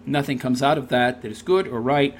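A man's voice: mid-range voice, fairly quick, a little hiss or hum. A man reading a text aloud and tearing into it with sarcastic, scornful commentary. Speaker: commentary video host.

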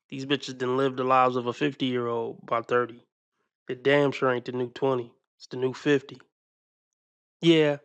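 A man's voice: medium pitch, a bit bright, clear, resonant tone, no echo. A man giving blunt, mocking reaction commentary on video clips.

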